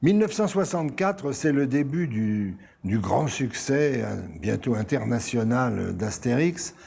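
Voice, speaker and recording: A man's voice: mid-range voice, expressive, measured pace, gravelly, a little mellow, a little hiss or hum. An older man recalling the past in an interview, hesitating between phrases. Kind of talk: conversational recollection.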